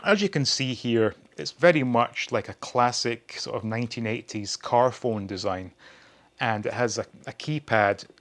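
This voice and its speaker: A man's voice: mid-range voice, normal speed. A man in his forties hosting a casual hobby review, chatting wryly to his viewers. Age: 40s. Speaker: host of a retro toy channel.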